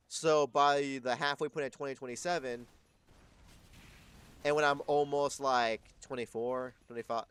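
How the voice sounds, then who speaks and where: A man's voice: medium pitch, a bit bright, clean tone, no echo. A man of twenty-two chatting casually on a live stream, rambling and correcting himself, swearing freely.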